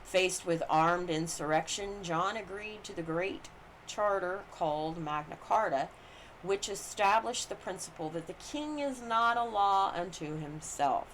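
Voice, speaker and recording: A woman reads a scripted legal and historical commentary aloud in a deliberate, formal manner. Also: lowish voice, a bit bright, rough recording. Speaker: reader of a book on the US Constitution. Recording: noticeable background noise.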